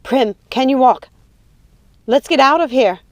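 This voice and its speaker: A woman's voice: on the high side, expressive, normal speed, warm tone, no echo, close to an everyday voice. A woman voicing a frightened but defiant young witch daughter in an audiobook, urgent, pleading and emotional. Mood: anxious, urgent. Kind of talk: audiobook character acting.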